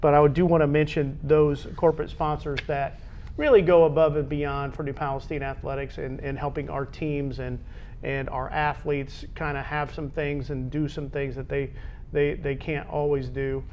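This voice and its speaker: Dull-sounding recording of higher-voiced American man. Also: little pitch movement, normal speed, darkish tone. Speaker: co-host of a local high school sports show. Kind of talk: conversational sports discussion. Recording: dull lo-fi audio with low rumbling noise.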